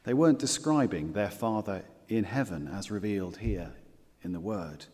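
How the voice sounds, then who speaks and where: A man preaching a sermon as pastor, earnest and measured, repeating key phrases and slowing down for scripture quotes. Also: lowish voice, animated, darkish tone, big-room sound.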